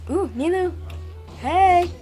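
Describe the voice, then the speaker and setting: A woman's voice: extremely high pitch, takes it slowly, dark tone. A woman hosting a gaming video with casual playthrough commentary, exclaiming and talking to the game as she plays. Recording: game music behind the voice.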